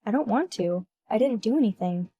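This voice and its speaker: A woman putting on a shrill entitled wife. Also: expressive, a little fast, dark tone, husky. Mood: indignant whining. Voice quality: shrill, whiny, indignant. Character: shrill entitled young wife Emma, blaming others.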